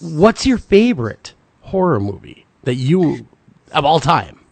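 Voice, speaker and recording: A man hosting a casual interview, upbeat and joking, a bit gravelly tonight, with frequent stammering restarts. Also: on the high side, very animated, unhurried, no echo. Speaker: radio show host.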